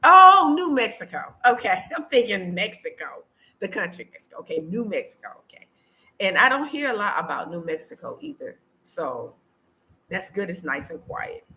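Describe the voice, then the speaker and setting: A woman's voice: mid-range voice, very animated, moderate pace, bright, forceful tone. An American woman chatting casually to her viewers as a vlogger, repeating phrases for emphasis in a conversational way. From United States. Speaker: vlogger hosting a live stream.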